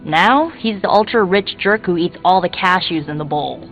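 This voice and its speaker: An actress playing a dry, mocking woman teammate in a video game, with deadpan delivery. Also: on the low side, normal speed, faint music behind, lightly acted. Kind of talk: scripted video game voice acting.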